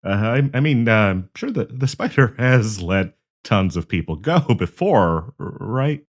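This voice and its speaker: Guy playing awkward shy dude with a crush, lowish voice, halting and stammery, audio roleplay. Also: very animated, heavily acted. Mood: flustered, nervous. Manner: stammering, hesitant, with nervous laughs. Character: nervous, awkward young man shyly confessing love to a friend. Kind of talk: intimate audio roleplay acting.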